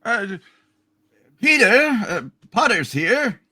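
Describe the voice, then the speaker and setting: A man's voice: very high-pitched, sing-song, slow pace, bright, forceful tone, pitched above the performer's own voice, full character voice. A man voicing a forgetful, muddled but lovable older uncle in an amateur radio play reading. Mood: flustered.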